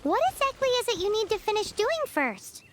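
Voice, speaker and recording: A woman voicing a tiny, cheeky, childlike fairy companion in a video game, squeaky and excitable, inquisitive and a little pouty. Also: a little fast, slight background noise. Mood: curious. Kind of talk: English video game voice acting. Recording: loud game music behind the voice.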